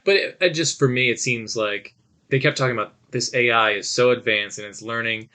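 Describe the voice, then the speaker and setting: Man, middle pitch, sharp bright tone, casual discussion, conversational, opinionated, podcast host. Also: a little fast, smooth.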